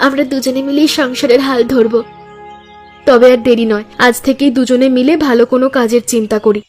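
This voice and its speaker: A woman voices a village housewife in a cartoon, caring and earnest. Her voice is very high, with soft music underneath. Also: steady tone, brisk. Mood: caring, resolved. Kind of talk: Bengali cartoon voice acting.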